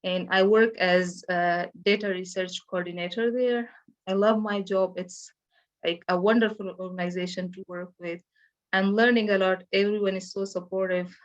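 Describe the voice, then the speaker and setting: A woman from Afghanistan with an Afghan accent, telling her own story as a panelist in halting, simply phrased English. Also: mid-range voice, fairly even intonation. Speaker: panelist sharing personal experience. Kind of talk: personal account on a virtual panel. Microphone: video call. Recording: dull, lo-fi online call audio.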